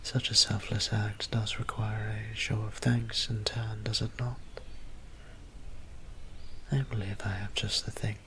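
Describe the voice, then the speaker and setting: Male actor as courtly, shy vampire priest, ASMR audio drama. Soft, breathy, very even delivery, slow and tender. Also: fairly low pitch, slight background noise. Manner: hesitant, tender, formal old-fashioned wording.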